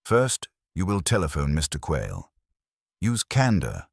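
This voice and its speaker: Man voicing a very deep, imperious older detective. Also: measured pace, gravelly, slightly rough, no room sound, studio quality, full character voice. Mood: grave, commanding. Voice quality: heavy, weighty. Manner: formal, flat, commanding pronouncements. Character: stern, calculating older male detective genius, imperious and unhurried.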